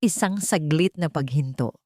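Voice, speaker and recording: A woman's voice: deep voice, moderate pace, darkish tone, no room sound, studio quality. A woman narrating a dramatic romance story from a script.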